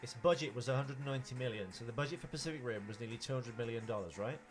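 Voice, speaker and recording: A man's voice: medium pitch, very fast. Guy on a podcast, explaining his opinions casually, faint music in there. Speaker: podcast co-host. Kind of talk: casual film chat.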